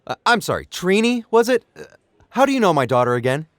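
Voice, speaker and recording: A man's voice: high-pitched, big pitch swings, quick pace, fairly bright tone, dry recording, full character voice. An actor playing a worried, suspicious middle-aged father in an audio drama, pressing for answers insistently. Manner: insistent, probing.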